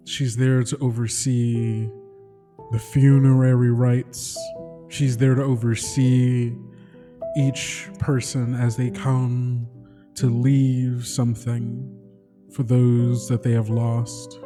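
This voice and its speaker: A man narrating his character's story solemnly and tenderly, in short measured phrases with pauses. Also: medium pitch. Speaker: player in a tabletop game podcast. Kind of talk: slow solemn third-person story narration. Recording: long reverb under music.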